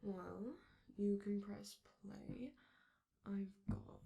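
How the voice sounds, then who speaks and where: A woman voicing a flamboyant, doting robot celebrity in an intimate ASMR roleplay, speaking theatrically and affectionately with drawn-out phrases and a sometimes breathy, creaky voice. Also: mid-range voice, warm tone. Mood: delighted, teasing, then gentle, attentive. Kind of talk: ASMR comfort roleplay, addressing the listener.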